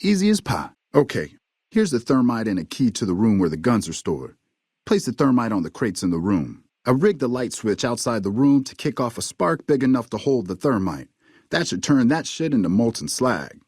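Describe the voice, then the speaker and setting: A cocky but nervous hustler from a video game, foul-mouthed and full of slang. His mid-pitched voice has a raspy edge and swings widely up and down as he talks. The recording is close and dry. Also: very animated, moderate pace, a little mellow, dry recording. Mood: smug, confident. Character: fast-talking, foul-mouthed male hustler, nervous smuggler under blackmail. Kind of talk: video game dialogue acting.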